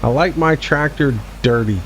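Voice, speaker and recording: A man's voice: a little high, moderate pace, a bit bright, slight background noise, rough recording. A man in his forties giving casual, laid-back gameplay commentary as a streamer, chatting back to his viewers. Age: 40s. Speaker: game streamer.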